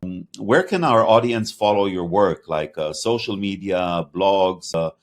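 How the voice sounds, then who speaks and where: A man with a slight Hebrew accent hosting an interview podcast, talking in a halting way with many fillers and restarts. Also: deep voice, expressive. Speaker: podcast host. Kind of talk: interview conversation.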